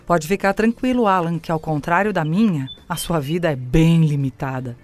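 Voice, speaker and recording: An actress playing a bossy, menacing artificial intelligence in an audio drama, mock-sweet, sarcastic and commanding. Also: female voice, sits low, normal speed, a little mellow, soft background music, close to an everyday voice. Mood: sarcastic menace.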